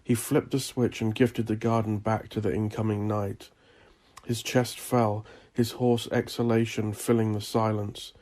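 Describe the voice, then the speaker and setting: A man reading dark fantasy horror as a scripted story, with a measured, deliberate delivery. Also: lowish voice, little pitch movement. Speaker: audiobook narrator.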